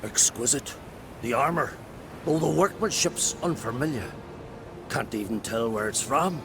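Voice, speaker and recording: Grave indignant druid in a game, slow theatrical delivery, formal, music behind him. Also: male voice, mid-range voice, expressive. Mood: troubled, intrigued. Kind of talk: video game cutscene acting. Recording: music under the voice.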